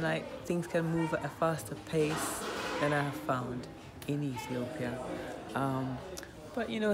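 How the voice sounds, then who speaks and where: A middle-aged woman from Jamaica, a dance teacher talking in a relaxed, chatty way and laughing often, recorded outdoors. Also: very deep voice. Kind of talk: casual interview talk. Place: outdoors on a hill. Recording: music playing underneath. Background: music.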